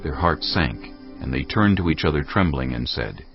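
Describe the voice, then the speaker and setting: Very deep gravelly male Bible narrator. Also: lively intonation, moderate pace. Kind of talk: dramatized audio Bible narration. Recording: dull band-limited studio recording with music. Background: background music.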